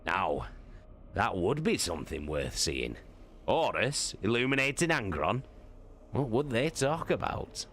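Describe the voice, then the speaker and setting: A man's voice: fairly low pitch, big pitch swings, measured pace, a bit bright, voice pushed up, full character voice. A man voicing an irreverent soldier comrade with a sardonic, informal manner in a dramatic book reading. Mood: wry amusement. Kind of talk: dramatic audiobook character reading.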